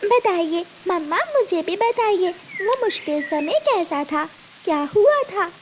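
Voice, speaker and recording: A woman's voice: extremely high pitch, expressive, a little slow. A woman voicing a curious, eager young daughter bird in a children's cartoon. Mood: curious, concerned. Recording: faint background noise.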